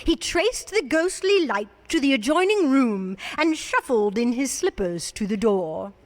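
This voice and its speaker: A woman's voice: sits high, sing-song, a little slow. A woman narrating a radio drama with dramatic, scripted storytelling. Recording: long hall reverb.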